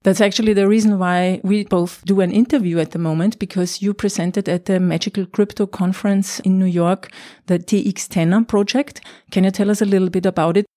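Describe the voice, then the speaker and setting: A woman's voice: medium pitch, fairly even intonation, brisk, warm tone, dry recording. Woman hosting a podcast, dry close sound. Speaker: podcast host and interviewer. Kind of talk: scripted podcast introduction and interview questions.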